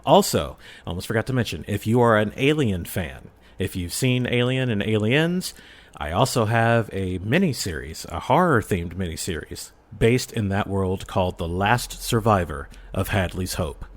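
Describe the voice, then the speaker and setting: Low-voiced man chatting as podcast guest. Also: moderate pace. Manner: animated storytelling, acts out reactions. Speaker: podcast guest, audio drama creator and film reviewer. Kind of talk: casual chat.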